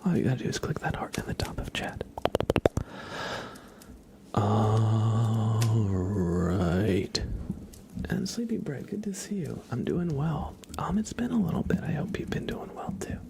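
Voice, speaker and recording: A man's voice: lowish voice, expressive, a little slow, gravelly, background noise. A man hosting a live ASMR stream, chatting to viewers with playful, murmured role-play patter. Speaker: livestream host.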